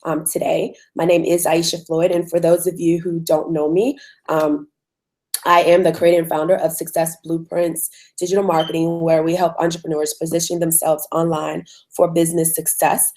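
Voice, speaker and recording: A woman with a hoarse voice from a cold, hosting a live online webinar in a conversational way with frequent ums. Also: deep voice, little pitch movement, moderate pace, darkish tone. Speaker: webinar host.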